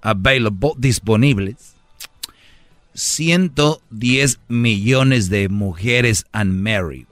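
A man's voice: on the low side, very slow, no echo, soft background music. A man hosting a radio show, teasing callers and lecturing in a mock-preachy way, dragging out words for emphasis. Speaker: radio host.

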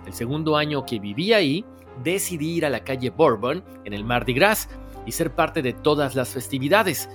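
A man with a Latin American Spanish accent hosting a mystery show, talking conversationally and reading listener stories in an expressive storytelling way. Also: mid-range voice. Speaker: host of a paranormal stories show.

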